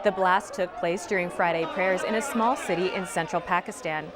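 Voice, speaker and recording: A young woman anchoring a college newscast from a studio newsroom, reading scripted headlines in a crisp, even newscaster manner. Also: on the low side, moderate pace, over a noisy crowd, heavy background noise. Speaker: student news anchor.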